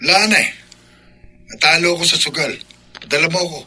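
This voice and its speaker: A menacing young man in a radio drama, husky and sharp in tone. He talks bluntly, like a demanding gambler who scares people. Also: fairly high pitch, steady tone, fairly quick, heavily acted. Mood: sullen, demanding.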